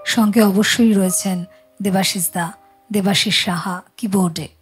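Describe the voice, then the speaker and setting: A woman's voice: mid-range voice, steady tone, normal speed. A woman hosting a music chat show in a studio, talking casually to viewers and guests.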